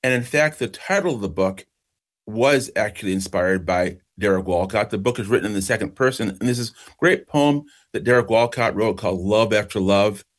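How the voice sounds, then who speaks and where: A middle-aged man from Jamaica, raised in New York state, answering interview questions about his book in a reflective, measured way. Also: fairly low pitch. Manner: reflective, measured, slows for emphasis. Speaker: author.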